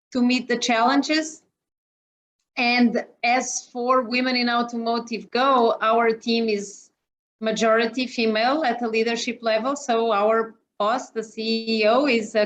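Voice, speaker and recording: Guest: woman, Portuguese accent, slightly high voice, halting and filler-heavy, dull lo-fi call audio. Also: fairly bright tone. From Mozambique. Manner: hesitant, many fillers, laughs easily. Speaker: interview guest, operations executive. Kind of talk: casual video-call interview. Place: home office. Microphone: video call. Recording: muffled lo-fi video call audio.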